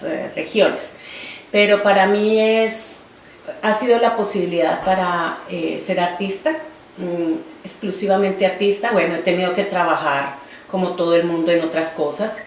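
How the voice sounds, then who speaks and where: A Colombian woman in her forties speaks reflectively, pausing often on fillers as she recalls her life. She is heard from a distance in a roomy space with a faint hiss behind her. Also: fairly low pitch. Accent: Colombian Spanish. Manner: reflective, halting, with many fillers. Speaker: interviewee, artist. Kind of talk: oral history interview.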